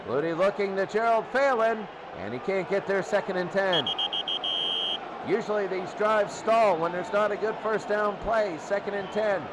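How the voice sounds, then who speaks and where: A man calling football play-by-play for radio in an excitable manner, often repeating phrases. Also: sits high, normal speed. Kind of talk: radio sports commentary. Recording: loud noisy stadium crowd behind. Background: roaring crowd.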